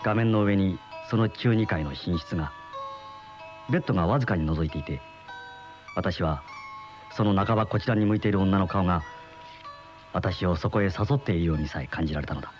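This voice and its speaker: A man's voice: low-pitched, brisk, pauses often, darkish tone, lightly acted. An actor playing a pensive man in his thirties with a secret obsession, in a radio drama. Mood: brooding. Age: 30s. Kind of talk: radio drama acting with first-person narration. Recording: dull lo-fi old radio recording, music behind.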